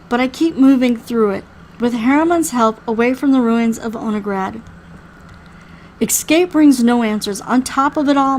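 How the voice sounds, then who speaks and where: A woman reading a first-person story aloud in an expressive, dramatic way. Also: sits high, slight background noise, low-quality recording. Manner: expressive, acts out the dialogue. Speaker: reader playing through a visual novel.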